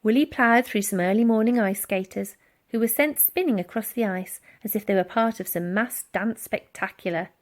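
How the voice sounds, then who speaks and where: A woman reading a children's storybook aloud in a dramatic, expressive narrating style. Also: medium pitch, moderate pace. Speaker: audiobook reader.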